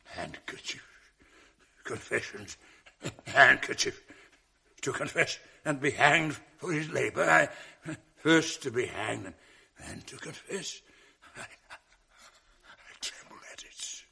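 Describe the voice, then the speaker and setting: An actor playing a tormented, jealous male general who breaks into halting, anguished outbursts in a 1980s radio drama. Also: medium pitch, animated, creaky, bright, forceful tone, slightly rough, full character voice. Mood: broken.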